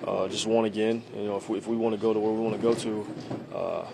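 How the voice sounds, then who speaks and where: A young man answering reporters' questions after a game, halting and repeating himself with many fillers, close to handheld mics in a locker room. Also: fairly low pitch, fairly even intonation, vocal fry, noisy background. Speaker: quarterback at a postgame press conference. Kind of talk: postgame press answers. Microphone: handheld reporter mics at close range.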